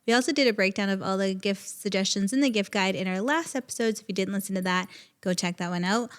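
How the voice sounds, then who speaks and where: A woman co-hosting a podcast in easy, casual conversation. She sounds bubbly, smiley and friendly, with a voice of ordinary middle pitch. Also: quick pace, clear, resonant tone. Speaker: podcast co-host. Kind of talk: casual chat.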